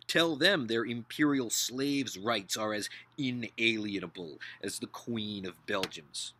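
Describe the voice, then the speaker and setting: A man's voice: on the low side, slow, sharp tone, no room sound, heavily acted. A man voicing a scornful older upper-class father-in-law in a dramatic book reading, declaiming with contempt and emphasis. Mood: scornful, thundering.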